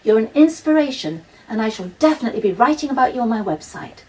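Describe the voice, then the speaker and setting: A woman's voice: on the high side, sing-song, quick pace, darkish tone, distant mic, poor audio. A woman narrator voicing an enthusiastic female author on a school visit, emphatically addressing a pupil in a children's audiobook. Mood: gushing, loud.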